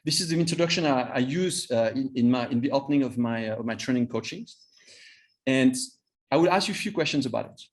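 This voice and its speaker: A man in his fifties with a French accent, a native Vietnamese speaker, giving an informal training talk as a coach, haltingly and repeating words for emphasis. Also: mid-range voice. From Vietnam and France. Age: 50s. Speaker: cross-cultural trainer and coach.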